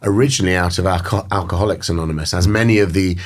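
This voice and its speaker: A British man with a very low, deep voice talks slowly and thoughtfully in an interview. He pauses often and circles back on his phrases as he works through each idea. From Britain. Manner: measured, thoughtful, many fillers and restarts. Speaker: guest. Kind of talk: reflective interview talk.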